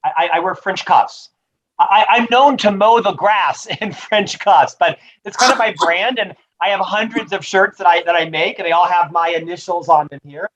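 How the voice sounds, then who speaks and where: Brief: upbeat male author guest, high voice, dull lo-fi call audio. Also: moderate pace, fairly bright tone. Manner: upbeat, promotional, says he stutters but talks fluently. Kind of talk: rapid-fire interview answers.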